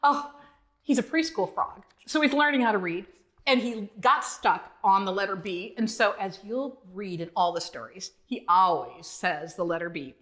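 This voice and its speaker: A woman telling a story to young children as its author, expressive and encouraging, often asking questions. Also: medium pitch, moderate pace.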